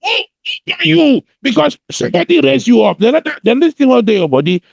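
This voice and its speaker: A man's voice: very high-pitched, very animated, normal speed, gravelly, no room sound. A man with a Nigerian accent talking on a podcast in an impassioned, emphatic way, repeating phrases for force. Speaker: podcast co-host. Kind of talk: impassioned conversational podcast talk.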